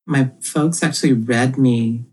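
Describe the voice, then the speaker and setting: A woman's voice: extremely low pitch, measured pace, dark tone, husky. A woman comic creator, raised in Ethiopia, talking thoughtfully as a podcast guest and laughing often. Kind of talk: casual podcast interview.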